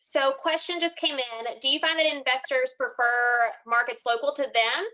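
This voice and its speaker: A woman's voice: high-pitched, fairly even intonation, moderate pace, pushed and bright, poor audio. A woman co-hosting a casual online meeting, giving updates and passing on questions as moderator.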